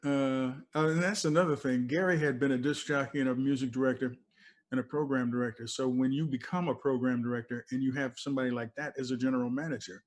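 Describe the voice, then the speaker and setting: A man's voice: medium pitch, fairly even intonation, fast talker. An older man, a veteran radio DJ, talking casually and reminiscing in an informal, conversational way as an interview guest. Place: video call from a cluttered room.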